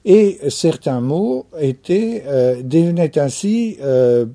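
A man from France, an anthropologist, giving a reflective interview answer and often pausing to repeat key phrases. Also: fairly high pitch, lively intonation, warm tone.

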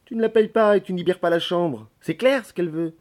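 A man's voice: medium pitch, lively intonation, moderate pace, a little mellow, husky, pitched above the performer's own voice. A man voicing a chatty, blunt, nagging country servant woman from a novel. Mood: scolding.

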